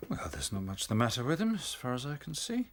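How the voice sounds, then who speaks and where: A man with an English accent playing a kindly, educated country doctor in a radio drama, gentle, avuncular and a little hesitant. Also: mid-range voice, big pitch swings, fast talker, a little hiss or hum. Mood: kindly, matter-of-fact. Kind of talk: naturalistic radio drama acting.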